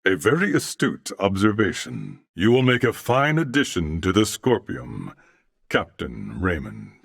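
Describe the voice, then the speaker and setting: An actor voicing a calm, courteous cybernetic alien in a video game, with a deep, gravelly voice and formal, measured, precise diction. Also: male voice, no room sound, studio quality, full character voice. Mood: polite, reflective. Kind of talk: dramatic video game voice acting.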